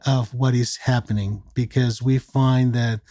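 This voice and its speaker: Older man, sixties, from Mexico; unhurried explainer with a mellow voice in a dry room. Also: mid-range voice, fairly even intonation, no room sound. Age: 60s. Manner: measured, explanatory, with many fillers. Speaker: psychiatrist guest. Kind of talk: conversational podcast interview.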